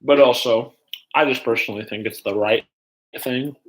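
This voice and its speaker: A man hosting a livestream in casual chat, rambling haltingly and jumping between asides as he talks to viewers. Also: medium pitch, low-quality recording. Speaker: livestream host.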